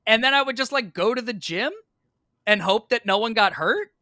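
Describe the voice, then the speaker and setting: A man's voice: very high-pitched, normal speed, no echo, studio quality. A man telling a personal anecdote casually as a podcast guest, acting out both sides of remembered conversations. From United States, New York. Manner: animated, acts out both sides of remembered exchanges. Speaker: podcast guest, stage actor.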